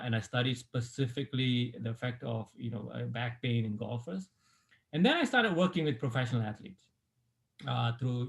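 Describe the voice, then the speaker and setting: A middle-aged man from Malaysia, a sports medicine doctor, recounting his life story as an interview guest in a relaxed way with many fillers and restarts. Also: medium pitch.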